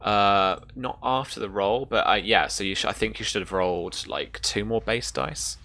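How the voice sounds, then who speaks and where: A game master improvising narration and rulings for a tabletop roleplaying game, with a halting delivery. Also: low voice, vocal fry, sharp tone.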